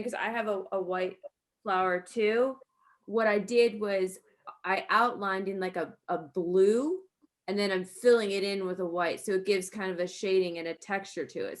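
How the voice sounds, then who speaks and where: A woman's voice: medium pitch, normal speed. A woman with a mid-range voice chats informally in a group discussion. She speaks as the host, in an everyday conversational style. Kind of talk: casual group chat about embroidery.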